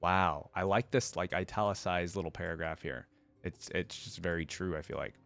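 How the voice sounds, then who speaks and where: A man's voice: deep voice, normal speed, vocal fry, fairly bright tone. A man hosting a reaction video, reading a fantasy story aloud and breaking off into casual, slangy commentary. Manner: informal, slangy asides.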